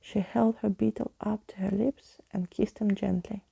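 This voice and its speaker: A woman reads a story in a gentle ASMR manner. Her voice is very dark and mellow, breathy and husky, with heavy creak throughout. She often drops toward a whisper while staying expressive. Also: medium pitch, moderate pace, muffled recording. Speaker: ASMR storyteller reading a book aloud. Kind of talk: soft ASMR storybook reading.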